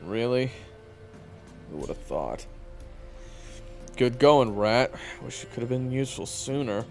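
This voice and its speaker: Man acting a gruff, gravelly, volatile investigator. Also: medium pitch, expressive, a little slow, darkish tone, faint music behind. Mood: sarcastic, annoyed. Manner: swearing, bitter, blunt. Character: gruff, foul-mouthed, guilt-ridden male investigator. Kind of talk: dramatic audio roleplay acting.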